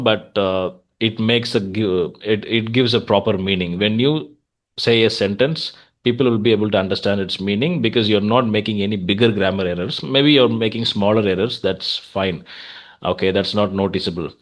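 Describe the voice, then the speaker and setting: A man's voice: on the low side, a bit bright. A man with an Indian accent chatting casually as an English practice coach, giving feedback and advice in an encouraging way.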